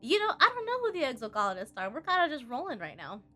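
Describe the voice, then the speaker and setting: A woman streaming a game live, chatty and expressive, reading the on-screen story aloud and tossing in playful asides. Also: high-pitched, fast talker, soft background music. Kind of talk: live let's-play commentary, reading game text aloud.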